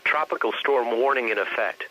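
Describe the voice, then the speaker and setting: A man's voice: mid-range voice, fairly quick, muffled recording, very noisy. A synthetic male voice reading a scripted weather warning bulletin over the radio in a stiff, formal way. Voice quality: synthetic text-to-speech voice. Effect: radio broadcast.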